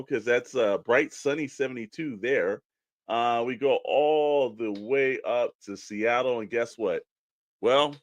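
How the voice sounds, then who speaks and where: A man's voice: mid-range voice, dry recording. A male co-host with a mid-range voice chats in a folksy, rambling style. His delivery is casual, joking radio banter. He is recorded close and dry, with no room sound. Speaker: co-host reading weather segment.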